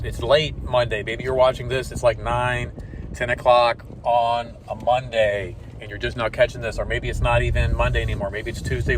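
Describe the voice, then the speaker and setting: A man's voice: mid-range voice, far from the mic, noisy background. A man in his forties talking in a casual motivational vlog, upbeat and rambling, with lots of fillers. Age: 40s. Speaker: vlogger.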